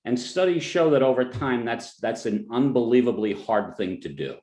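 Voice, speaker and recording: A man's voice: mid-range voice, animated, normal speed, a little mellow, roomy sound. A man from New Jersey with a New Jersey accent, a financial advisor giving a client webinar presentation in a conversational way, with joking asides and frequent restarts.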